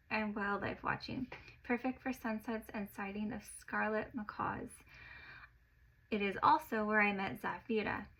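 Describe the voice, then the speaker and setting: Expressive woman telling a personal story. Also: fairly high pitch, creaky, low-quality recording. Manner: expressive, growing louder at exciting moments.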